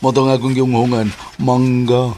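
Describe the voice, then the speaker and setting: A man's voice: mid-range voice, completely flat delivery, normal speed, mellow, a little hiss or hum, close to an everyday voice. A man in a Bisaya radio drama playing a street hustler who poses as a fruit seller. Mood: sly, casual.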